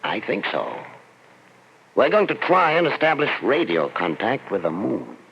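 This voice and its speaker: Deep, lively-voiced thoughtful professor. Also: male voice, a little slow, gravelly, husky, exaggerated voice. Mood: genial, measured. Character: thoughtful, uneasy older professor leading secret research. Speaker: professor, project leader. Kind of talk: old-time radio drama acting. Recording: muffled, noisy vintage radio recording.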